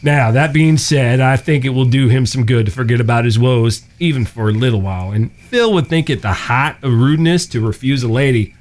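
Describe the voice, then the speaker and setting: An actor in a western audio drama playing a teasing younger brother, an Old West cowboy with a folksy, mischievous way of talking. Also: male voice, medium pitch, fairly quick, hardly any pauses, darkish tone, lightly acted. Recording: background music.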